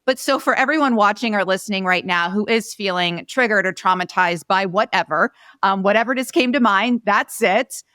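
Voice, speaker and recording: Woman, podcast host, smooth clear voice, medium pitch, dry close sound, casual conversational interviewing. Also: moderate pace, hardly any pauses, bright, forceful tone, no room sound.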